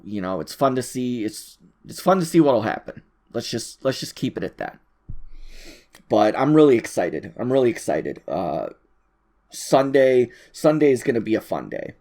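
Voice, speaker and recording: A man giving a casual, rambling solo audio log, thinking aloud in a halting, informal way and often repeating his phrases. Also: medium pitch.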